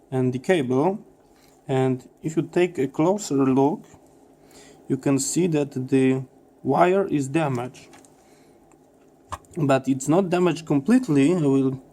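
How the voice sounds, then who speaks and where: Guy w non-native english doing a repair demo, really slow n halting, medium pitch, explains each step. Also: dark tone. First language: not English. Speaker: electronics repair presenter. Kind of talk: hands-on repair demonstration.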